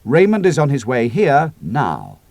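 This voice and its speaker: A man reading the part of a calm, decisive squadron leader in a spoken story, in a crisp, assured, slightly clipped way. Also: medium pitch, unhurried, dry recording, voice pushed up. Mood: grave.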